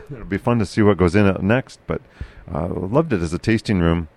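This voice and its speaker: Low gravelly man with a carrying voice. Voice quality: loud, carrying voice. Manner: halting, many fillers and restarts. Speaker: podcast host. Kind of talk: casual local news chat.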